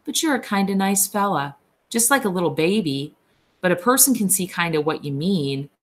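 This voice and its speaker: A woman's voice: fairly low pitch, expressive, normal speed, close to an everyday voice. A woman doing a vain, teasing young ranch wife.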